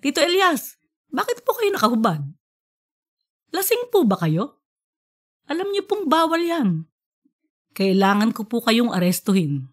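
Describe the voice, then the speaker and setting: Woman playing a young male cop, respectful, questioning, high-pitched, audiobook character. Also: sing-song, measured pace, pauses often. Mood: polite concern. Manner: polite, questioning. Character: young policeman, respectful, addressing an older family friend.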